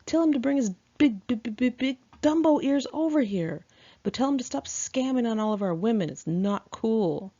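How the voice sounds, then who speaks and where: A woman's voice: on the high side, lively intonation, normal speed, warm tone, lo-fi sound, pitched above the performer's own voice. A woman voicing a brash, mocking friend with a stutter and a New York accent in a memoir. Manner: stutter, repeats words.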